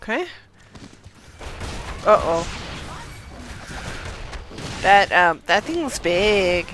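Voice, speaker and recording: A woman casually commentating on her gameplay as a streamer, murmuring reactions and reading dialogue aloud a little haltingly. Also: mid-range voice, big pitch swings, fairly bright tone, a little hiss or hum. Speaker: game streamer.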